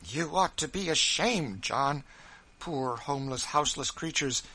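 A man reading aloud, voicing a timid, gentle wife roused to righteous indignation, pleading and insistent. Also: medium pitch, animated, moderate pace, voice pushed up. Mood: indignant. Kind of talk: audiobook character reading.

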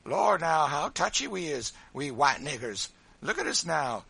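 Audiobook role: huge teasing trickster, high and forceful, voluble, broad drawling folk dialect. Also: male voice, sing-song, measured pace, gravelly, slightly rough, exaggerated voice. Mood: mocking. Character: big boisterous mocking man, voluble trickster. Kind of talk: audiobook character voice.

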